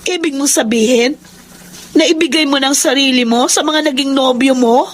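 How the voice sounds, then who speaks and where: A woman's voice: sits high, normal speed, lightly acted. A woman playing a sensible, modest and upright young woman in a Tagalog radio drama. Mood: concerned, scolding.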